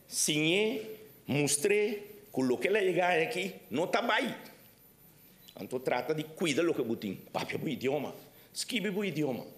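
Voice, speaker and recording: Man, formal council speech, emphatic climbs in pitch, average-pitched voice. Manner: emphatic, voice rising sharply on key points. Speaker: council member giving a speech.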